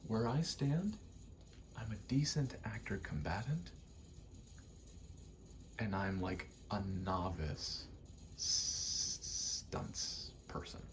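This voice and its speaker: A man with a very deep, hushed voice talks in a casual ASMR ramble about what he is doing in a game. He takes his time, moving slowly in short, halting pieces and often dropping into a whisper. There is faint noise behind him. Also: expressive. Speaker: ASMR creator, gamer; actor and stage combatant.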